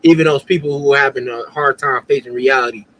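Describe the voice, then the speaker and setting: A man's voice: medium pitch, steady tone, fast talker, fairly bright tone, poor audio. A man from the Cleveland area co-hosting a sports podcast, explaining points in an easygoing, agreeable way. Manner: explanatory, agreeable, laughs often. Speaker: podcast co-host. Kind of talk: casual sports chat.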